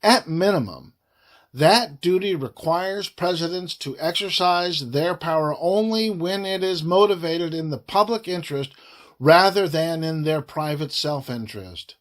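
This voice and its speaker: A man reading a formal report aloud in deliberate phrases, with frequent breaks between them. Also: fairly high pitch. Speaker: reader of a government report.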